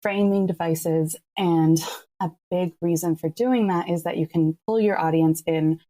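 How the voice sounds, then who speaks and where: A woman's voice: fairly low pitch, fairly even intonation, unhurried, dark tone. A woman giving articulate, enthusiastic explanations as a creative guest in an interview. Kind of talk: thoughtful explanatory interview talk.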